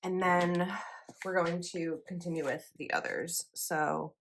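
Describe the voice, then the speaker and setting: Chatty low-voiced woman, froggy morning voice. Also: fairly even intonation, a little slow, a bit bright, a little hiss or hum. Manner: chatty, rambling with likes and ums. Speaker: planner vlogger. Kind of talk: casual chat while decorating a planner.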